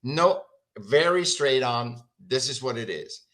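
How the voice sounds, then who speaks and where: Bright-voiced, emphatic male artist. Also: medium pitch, expressive, takes it slowly. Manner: emphatic and rambling, with frequent restarts. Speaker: guest artist and creativity educator. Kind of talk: casual interview talk.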